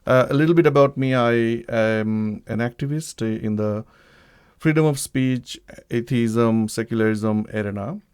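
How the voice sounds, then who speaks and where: A man from Bangladesh hosting a live-streamed talk, speaking in a hesitant way with many pauses and restarts. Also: mid-range voice, darkish tone, clean tone. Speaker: livestream host. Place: home setup, living in the UK.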